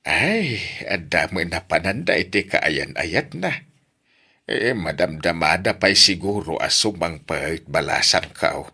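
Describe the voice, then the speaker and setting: A man playing an older, hospitable, talkative village father with a husky voice and an Ilocano accent in an audio drama. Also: low voice, lively intonation, a little slow, pushed and bright, exaggerated voice. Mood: chatty, hospitable.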